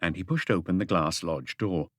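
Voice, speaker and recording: A man's voice: deep voice, normal speed, no echo, studio quality. A man reading a mystery novel aloud as an audiobook narrator, in a scripted literary style.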